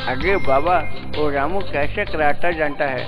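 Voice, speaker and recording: A man plays a chubby, put-upon boy from a children's cartoon. The voice is high and earnest, with simple, emphatic exclamations. Music plays prominently behind it. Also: measured pace, close to an everyday voice. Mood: scheming, aggrieved. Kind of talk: Hindi children's cartoon dubbing.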